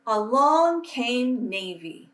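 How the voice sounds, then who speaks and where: A woman reading aloud to children as a storyteller, with a deliberate, simple delivery. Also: a little high, lively intonation, a bit bright. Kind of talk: children's picture book read-aloud with casual introduction. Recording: roomy echo, distant microphone.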